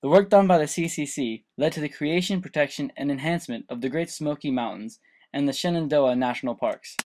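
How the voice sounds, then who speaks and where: A man's voice: fairly high pitch, brisk, a little mellow. A man reading scripted narration for a student history documentary.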